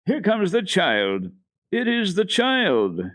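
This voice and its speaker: Man voicing a trembling, high-pitched old grandmother. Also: wildly swooping pitch, slow pace, fairly bright tone, no room sound, clean studio sound, heavily acted. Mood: overjoyed. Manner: exclaiming, trembling. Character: frail old blind grandmother, anxious, tearfully joyful. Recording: dull archival radio tape.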